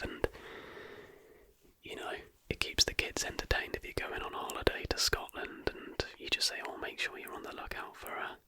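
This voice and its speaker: Whispering ASMR host, all breath and crisp brightness. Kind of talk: whispered ASMR, reading aloud and commenting.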